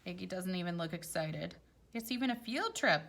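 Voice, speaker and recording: Lower-voiced woman reading a storybook. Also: moderate pace, vocal fry. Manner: expressive, explains for young children. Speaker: elementary school teacher reading aloud. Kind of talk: children's storybook read-aloud with chatty asides.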